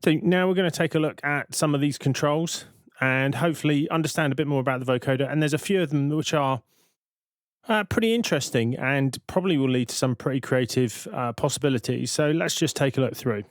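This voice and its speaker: A man's voice: mid-range voice, brisk, no room sound. Man narrating a tutorial, dry close sound. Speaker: music software tutor. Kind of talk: casual tutorial narration over screen recording.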